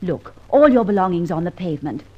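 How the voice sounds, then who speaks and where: Husky, animated well-bred lady. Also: fairly low pitch, fairly quick, highs cut off. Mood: kind, earnest. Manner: earnest, insistent, well-spoken. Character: warm-hearted, eager, impulsive young lady. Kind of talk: old-time radio drama acting.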